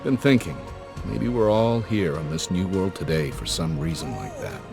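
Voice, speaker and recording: A man's voice: low-pitched, expressive, normal speed, creaky. An actor playing a folksy older male adventurer who tells war stories to a youngster in a game cutscene. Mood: wistful. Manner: folksy, slangy, reflective storytelling. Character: folksy older male adventurer, warm storytelling uncle type. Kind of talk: cinematic game cutscene narration. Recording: music behind the voice.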